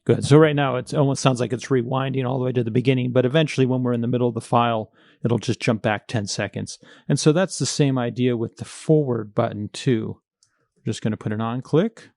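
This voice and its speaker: Male coding instructor, mid-pitched with noticeable vocal fry, informal and hands-on, close dry sound. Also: brisk, darkish tone, no room sound, soft background music. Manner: informal, thinking aloud while working. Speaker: programming tutorial instructor. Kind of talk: step-by-step coding screencast narration.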